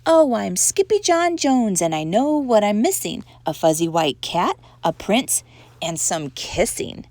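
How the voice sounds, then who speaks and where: A woman's voice: medium pitch, big pitch swings, a little slow, a little mellow, no echo. A woman voicing a boastful, stubborn little kitten boy from a storybook, dramatic and defiant. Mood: singsong.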